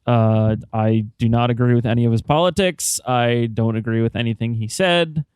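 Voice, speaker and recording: A man's voice: medium pitch, moderate pace, darkish tone, clear, resonant tone, no echo. A man co-hosting a casual podcast, wry and offhand, swearing freely. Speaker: podcast co-host.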